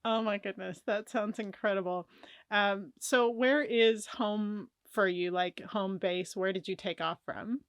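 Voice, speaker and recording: A woman's voice: medium pitch, fairly even intonation, measured pace, smooth, dry recording, clean studio sound. An American woman hosting a casual interview, encouraging her guest and reacting with frequent exclamations. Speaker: podcast host.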